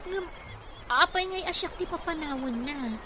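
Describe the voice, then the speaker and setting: Devoted female therapist, Ilocano accent, radio drama, high-pitched, earnest and respectful, noisy background. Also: normal speed, pushed and bright. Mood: concerned, inquiring. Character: devoted encouraging female physical therapist, respectful to her patient.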